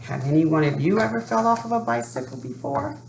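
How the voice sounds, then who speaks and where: A woman presenting and reading a picture book to children in an expressive storytelling style, addressing young listeners directly. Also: a little high, creaky. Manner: expressive, asks young listeners questions and pauses. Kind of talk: read-aloud storytelling for children. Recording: roomy sound with faint background noise.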